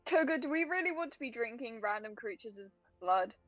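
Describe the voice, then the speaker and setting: Woman player, fairly high voice, casual, slightly dull lo-fi recording. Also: animated, brisk, dry recording. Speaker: player in a tabletop game. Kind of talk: casual chat. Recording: dull lo-fi mic, faint music.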